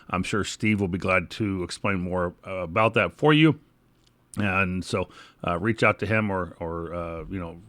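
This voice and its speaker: A man's voice: deep voice, fairly bright tone, no echo. An American man hosting a podcast, moving between read news items, sponsor reads and informal remarks. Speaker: podcast host.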